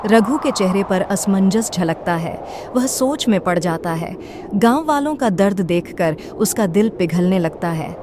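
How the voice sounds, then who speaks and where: A woman's voice: mid-range voice, noisy background. Woman narrating a cartoon story. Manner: steady, measured storytelling.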